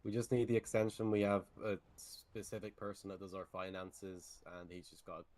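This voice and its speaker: A businesslike company representative in an online role-play game as acted out by a man. His voice is very low and stays flat. He is matter-of-fact throughout. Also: moderate pace, no room sound, natural voice. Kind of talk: improvised in-character role-play conversation.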